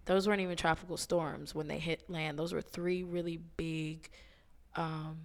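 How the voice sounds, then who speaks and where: Hoarse 30 yr old woman hosting a podcast, lowish voice, chill slangy talk. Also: moderate pace. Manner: relaxed, slangy, with many ums. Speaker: podcast co-host. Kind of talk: casual chat.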